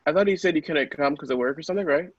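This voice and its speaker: A man's voice: fairly high pitch, very fast, dry recording, muffled recording. A young American man chatting casually in a rambling, informal way. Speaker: podcast cast member. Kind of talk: casual chat.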